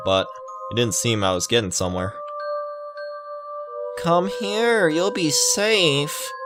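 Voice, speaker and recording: A man hosting a gaming video, reading a visual novel aloud with casual, halting, rambling commentary. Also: lowish voice.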